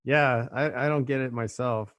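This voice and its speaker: A man's voice: mid-range voice, fairly even intonation, moderate pace, fairly bright tone, dry recording, dull audio. Rambling middle-aged man, dry close sound. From Orange County, California. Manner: conversational, rambling with fillers and repeated words. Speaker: host. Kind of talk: casual conversational interview.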